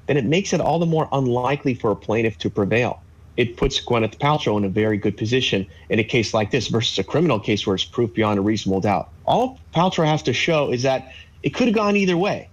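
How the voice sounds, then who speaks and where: Husky man analyzing on a video call. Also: medium pitch. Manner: measured, explanatory, with frequent fillers. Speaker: legal analyst. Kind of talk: live news interview commentary. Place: home office with bookshelves.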